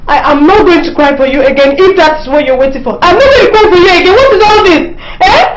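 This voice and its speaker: An actress with a Nigerian accent playing a defensive wife who pleads and protests. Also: female voice, a little high, expressive, moderate pace, reverberant, lightly acted, low-quality recording. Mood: distressed. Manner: pleading, protesting, stammers when upset. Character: hurt, defensive young wife hiding an affair.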